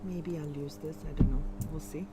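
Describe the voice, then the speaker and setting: A woman's voice: low voice, steady tone, normal speed, very noisy. Woman with an Indian accent reading tarot. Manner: musing, warm, reassuring, with self-interruptions. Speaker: tarot reader.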